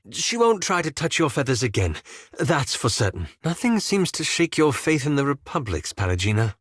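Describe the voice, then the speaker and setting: Casting: reserved elven mage companion, fantasy game. Medium pitch, articulate, polite, wry and a touch fussy. Dry studio sound. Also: male voice, a bit bright, no echo. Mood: earnest, pointed. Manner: formal, articulate, measured, faintly fussy. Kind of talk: scripted fantasy game dialogue.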